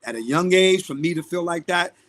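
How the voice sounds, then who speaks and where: A man with a Brooklyn accent talks in a podcast interview. His voice sits a bit on the high side. He is outspoken and emphatic, hammering points home by repeating phrases. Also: fairly quick, a bit bright. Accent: Brooklyn, New York. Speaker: guest, singer and music producer. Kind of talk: live podcast interview talk.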